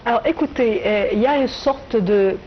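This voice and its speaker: A middle-aged woman from Tunisia, a writer and translator, answering interview questions in a reflective way with many hesitant pauses. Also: fairly high pitch, muffled recording, slight background noise.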